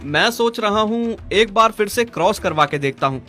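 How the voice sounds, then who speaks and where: A man's voice: high-pitched, lively intonation, normal speed, pushed and bright. A man playing a patient young cow owner in a cartoon story, earnest and mild in manner. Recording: music behind the voice.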